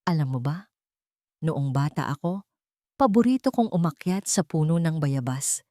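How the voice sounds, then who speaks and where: A woman voicing a gentle, hopeful female caregiver who speaks softly and politely in a drama story. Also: deep voice, expressive, moderate pace, dry recording, clean studio sound. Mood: fond. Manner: soft, polite, tender.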